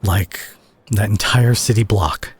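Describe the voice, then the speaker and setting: A man acting a concerned superhero who confronts a reckless friend in a dramatic audio roleplay, speaking earnestly and haltingly with a husky, breathy voice. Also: fairly low pitch, exaggerated voice. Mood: somber, pained.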